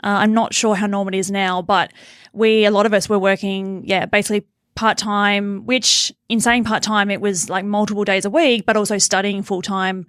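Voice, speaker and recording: A woman's voice: medium pitch, flat delivery, moderate pace, fairly bright tone, clear, resonant tone, dry recording, studio quality. A middle-aged Australian woman from Tasmania, telling her story casually as an interview guest. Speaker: podcast guest, accountant and business owner.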